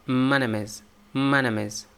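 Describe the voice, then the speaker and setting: A man with a gravelly, mid-pitched voice coaches a student through everyday English phrases. He models each line slowly, then again at normal speed, patiently and methodically. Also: steady tone, pushed and bright, slight background noise. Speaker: English pronunciation teacher. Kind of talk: slow drilling of spoken phrases.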